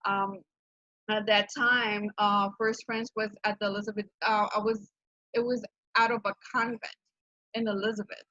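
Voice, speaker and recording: A woman's voice: mid-range voice, little pitch movement, moderate pace, bright, forceful tone, no echo. A woman in her thirties from Honduras, speaking with a Honduran Spanish accent, earnestly presenting her nonprofit's work on a video call with many fillers and restarts. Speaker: program director of a nonprofit. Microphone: video call microphone. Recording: dull, dry video-call audio.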